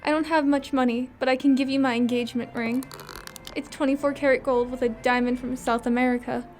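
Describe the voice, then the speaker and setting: A woman's voice: sits high, fairly even intonation, brisk, faint music behind, lightly acted. A woman playing a pleading 1940s femme fatale in a noir radio drama.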